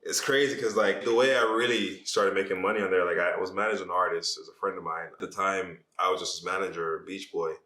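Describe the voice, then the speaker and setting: Young man from Jamaica, podcast guest, deep voice with a bright edge, relaxed rambling delivery. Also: fairly quick, few pauses, echoey room. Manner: laid-back, rambling with many "like"s. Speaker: podcast guest, social media influencer. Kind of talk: casual podcast chat.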